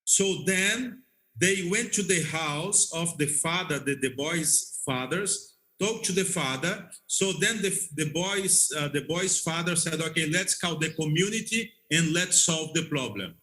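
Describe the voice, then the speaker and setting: A man who is a non-native English speaker, telling stories as an interview guest in a halting, repetitive way and checking that he is understood. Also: a little high, expressive, sharp tone. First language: not English. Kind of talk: conversational storytelling.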